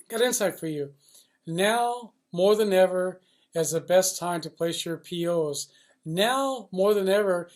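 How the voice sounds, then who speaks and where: A man with a notably high voice speaks off the cuff in a blunt, riled-up monologue. He hammers his points with repeated phrases and coarse language, halting now and then as he searches for the next words. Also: darkish tone. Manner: blunt, emphatic, profane, riled up. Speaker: loudspeaker supply industry commentator based in China. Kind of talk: unscripted opinionated monologue.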